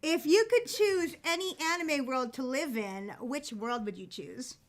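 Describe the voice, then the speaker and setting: A woman's voice: high voice, lively intonation, unhurried. A woman co-hosting a panel, reading out viewer questions in an upbeat, giggly way.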